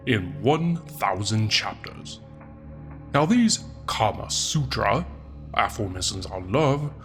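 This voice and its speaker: A man's voice: mid-range voice, fairly bright tone. Man playing a sardonic, theatrical Satan narrator. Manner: theatrical, deliberate, with wry asides. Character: sardonic, foul-mouthed male Satan reading an old book aloud. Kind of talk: comic audiobook-style narration.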